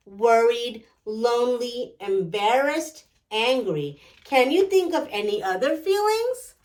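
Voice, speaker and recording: A woman's voice: fairly high pitch, distant mic. A woman reading a picture book aloud to children as a storyteller, instructing and putting questions directly to young listeners.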